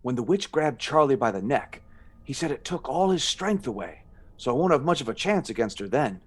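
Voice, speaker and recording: A man's voice: mid-range voice, sing-song, a little fast, husky. A man playing a bold, determined brother in a radio play, decisive and dramatic in his delivery. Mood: plotting. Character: bold, determined brother plotting against a witch.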